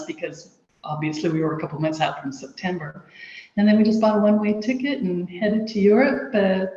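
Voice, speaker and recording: A woman's voice: medium pitch, darkish tone. Friendly woman guest in echoey room. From United States. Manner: friendly, laughs often. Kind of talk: interview answers. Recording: echoey dull-sounding room.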